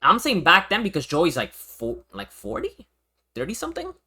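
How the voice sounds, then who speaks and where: A man's voice: fairly high pitch, wildly swooping pitch, moderate pace, pushed and bright, slightly rough. A young man from Venezuela reacting on a livestream in casual, slangy, repetitive talk with plenty of swearing. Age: young adult. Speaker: streamer reacting to a video.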